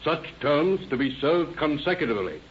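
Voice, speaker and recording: An actor playing a stern judge in a 1930s radio drama, speaking in a formal, solemn, declamatory way. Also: male voice, on the high side, very animated, normal speed, soft background music. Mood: solemn, severe. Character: stern male judge passing sentence. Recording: dull old radio recording, faint noise.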